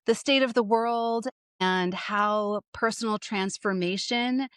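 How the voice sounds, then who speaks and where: A woman's voice: mid-range voice, takes it slowly, a bit bright, smooth. Woman podcast host, eager and upbeat, mid-range voice, close and dry recording with no room echo. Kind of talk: scripted intro then casual interview.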